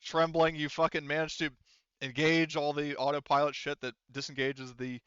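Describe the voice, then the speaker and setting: A man with a clear, smooth voice narrates a tabletop roleplaying game as game master. He is casual and sweary, often halting as he improvises, and the dry recording sounds slightly dull. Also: fairly high pitch, level tone, fairly bright tone, no room sound.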